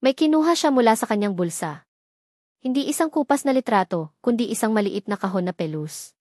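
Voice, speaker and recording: A woman's voice: fairly high pitch, expressive, brisk, a little mellow, dry recording. Woman narrating a dramatic romance story, dry sound. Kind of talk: scripted first-person romance narration.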